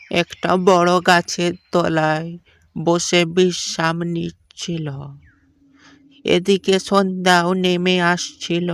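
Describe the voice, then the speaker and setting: A woman's voice: lowish voice, fairly bright tone, smooth, no echo. A woman narrating a scripted cartoon story.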